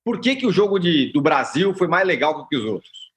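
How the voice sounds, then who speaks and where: Sex: man. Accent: Brazilian. Role: podcast host. Pitch: a bit high. Delivery: brisk, scripted. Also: big pitch swings. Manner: brisk, reads a prepared rundown then questions guests. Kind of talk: scripted show opening.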